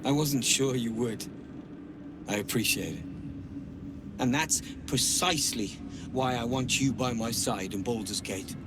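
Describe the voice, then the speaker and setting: An actor playing a proud, ambitious gnome leader in a video game, speaking in a formal, declamatory way. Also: male voice, mid-range voice, a little slow, long pauses, background noise, lightly acted. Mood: earnest. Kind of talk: video game character dialogue.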